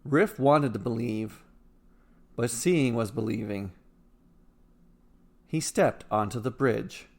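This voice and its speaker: A man narrating a scripted fantasy story with measured, dramatic delivery. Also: mid-range voice, creaky.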